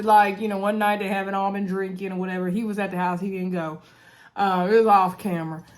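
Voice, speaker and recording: A woman talks casually to her viewers, recapping a reality show. She is animated and chatty, and her voice sounds congested from a recent cold. Her pitch sits in the middle range. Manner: chatty, animated, says "y'all" and "anywho". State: congested, coughing.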